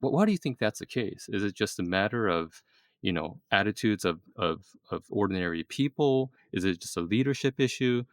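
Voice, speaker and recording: A man hosting a casual interview, excitable and full of fillers and restarts. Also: on the low side, fairly quick, no echo, clean studio sound. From East Asia. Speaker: podcast host.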